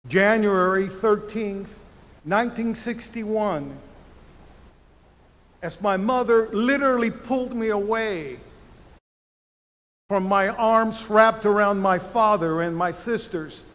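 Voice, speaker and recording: Older Cuban-born man, commencement speaker, high-ish voice, slow deliberate delivery, reverberant arena. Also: fairly bright tone. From Cuba. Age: 70s. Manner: slow, deliberate oratory with emphatic pauses. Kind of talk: commencement speech. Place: large university arena. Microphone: podium microphone.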